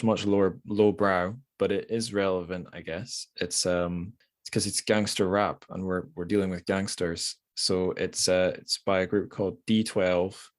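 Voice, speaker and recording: Low-pitched man, casual podcast host. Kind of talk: casual book podcast chat.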